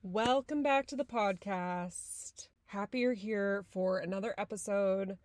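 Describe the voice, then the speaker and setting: A woman's voice: mid-range voice, a little slow, no echo, studio quality. Chatty congested woman host. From San Diego. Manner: chatty, many fillers like "like". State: congested, a little nasal. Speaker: podcast host. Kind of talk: casual podcast intro and chat.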